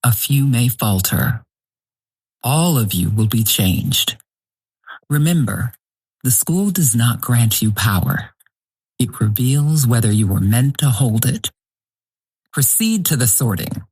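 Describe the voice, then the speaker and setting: A dignified, stern headmistress of an eldritch academy. She speaks slowly and formally, with a husky grain in her voice. Every phrase is grave and measured, in the manner of a fantasy audio drama. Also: a bass voice, expressive, darkish tone, exaggerated voice. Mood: grave warning. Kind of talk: scripted audio drama monologue.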